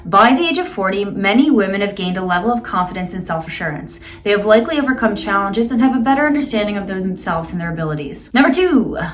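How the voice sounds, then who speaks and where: A woman's voice: medium pitch, expressive, fast, few pauses. A woman in her late thirties, a vlogger who reads search results aloud and pokes fun at them with dry, sarcastic asides. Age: 30s. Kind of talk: casual vlog reading and commenting.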